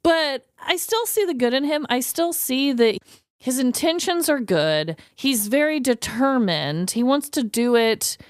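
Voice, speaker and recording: A woman's voice: sits high, expressive, measured pace, clear, resonant tone, no room sound, clean studio sound. A woman co-hosting a podcast, telling a story in casual, slangy conversation and often acting out what people said. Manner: informal, slangy, acts out imagined dialogue. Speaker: podcast co-host telling a true crime story.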